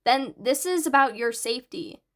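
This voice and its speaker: An eager, bright teenage boy, performed by a woman in an audio story. His voice sits fairly high, and he explains things in an earnest, analytical way. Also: lively intonation, normal speed, natural voice. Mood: earnest explaining. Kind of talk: audiobook character dialogue.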